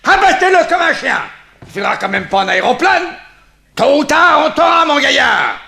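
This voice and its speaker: Radio drama police chief: impatient bully, forceful, very animated delivery, blustering commands. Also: male voice, very high voice, normal speed, creaky, full character voice. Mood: barking, furious. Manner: barking orders, blustering. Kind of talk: 1960s French radio drama acting.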